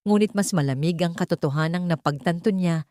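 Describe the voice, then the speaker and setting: A woman narrating a dramatic audiobook in a steady, somber storytelling manner. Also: lowish voice, a little fast, no room sound, studio quality.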